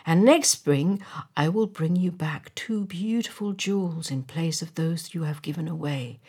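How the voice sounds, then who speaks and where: A woman's voice: low-pitched, unhurried, mellow, slightly rough, exaggerated voice. A woman voicing a small, kind-hearted male swallow from a storybook, devoted and earnest, with emphatic delivery. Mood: earnest, wistful. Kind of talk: storybook character voice.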